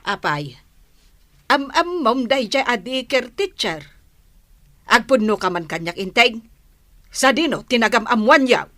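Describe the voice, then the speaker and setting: A woman's voice: on the high side, very animated, a little slow, pauses often, bright, forceful tone, no room sound, exaggerated voice. A woman playing a nosy, jealous village gossip in a radio comedy drama, grumbling and exclaiming with an Ilocano accent. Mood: indignant, petty.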